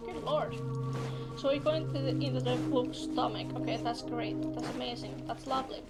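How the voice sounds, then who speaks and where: A woman's voice: high-pitched, normal speed. A woman gamer giving casual, sweary reactions and muttered asides while streaming a game. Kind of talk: casual gameplay reaction commentary. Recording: loud game music behind.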